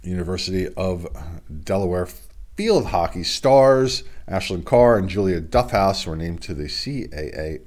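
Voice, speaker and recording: A man's voice: low-pitched, lively intonation, measured pace, a little mellow. A middle-aged man hosting a casual solo sports report from his home studio, his voice congested from a cold, chatty and rambling with self-deprecating asides. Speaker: local sports podcast host. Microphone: video stream webcam setup.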